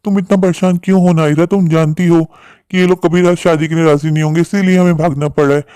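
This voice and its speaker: A man's voice: a little high, fairly even intonation, quick pace, dark tone, clear, resonant tone, dry recording, studio quality, natural voice. A man playing an earnest, protective young eloping bridegroom in a cartoon story, talking insistently and pleadingly. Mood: reassuring.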